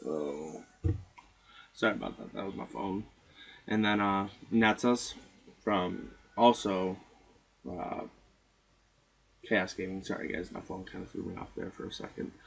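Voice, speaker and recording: A man with a hoarse voice that is partly gone, talking casually through game results as a video host in a laid-back way with lots of "uh" and "you know". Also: fairly low pitch, rough recording. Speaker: mobile game YouTuber.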